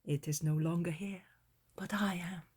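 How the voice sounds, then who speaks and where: A woman voicing a gentle, calm male teacher in a soft, hushed, near-whispered voice. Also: fairly low pitch, normal speed, slightly rough.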